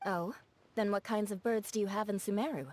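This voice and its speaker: A woman's voice: medium pitch, fairly even intonation, a little fast, a little mellow, dry recording, lightly acted. A woman voicing a proud, blunt young knight with a formal manner in a video game. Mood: brusque, encouraging. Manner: haughty, formal, awkwardly kind. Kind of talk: scripted game voice acting.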